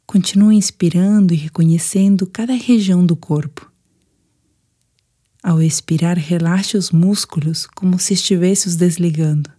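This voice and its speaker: A Brazilian woman narrating a scripted guided sleep meditation in a soothing, gentle, unhurried manner. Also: lowish voice.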